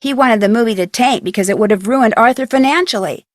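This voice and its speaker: A woman's voice: fairly high pitch, quick pace, dry recording, studio quality, lightly acted. An actress playing an upbeat young amateur detective, chatty and wry, narrating her case in a mystery game. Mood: wry, satisfied. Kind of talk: scripted first-person narration for a mystery game.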